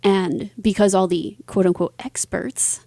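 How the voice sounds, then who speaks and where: A woman's voice: medium pitch, steady tone, a little slow, warm tone, dry recording, clean studio sound. A woman talking casually as an interview guest, explaining things thoughtfully in long linked phrases. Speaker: interview guest, singer, author and voice actor.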